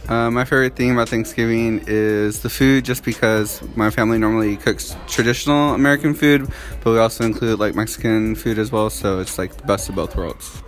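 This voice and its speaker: A young male teacher answering hallway interview questions in a relaxed, hesitant way. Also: lowish voice, a little fast, few pauses, soft background music. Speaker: Spanish teacher. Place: school hallway, indoors. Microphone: handheld phone held by interviewer.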